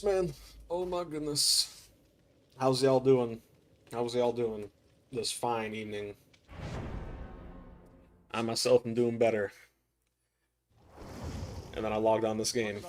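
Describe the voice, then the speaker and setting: A man with a raspy, hoarse voice from a recent cold, chatting casually to his viewers while playing a game on a live stream. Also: mid-range voice, slight background noise. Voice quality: raspy throat. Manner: laid-back, chatty, slangy asides to chat. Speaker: gaming streamer. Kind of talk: casual live gameplay commentary.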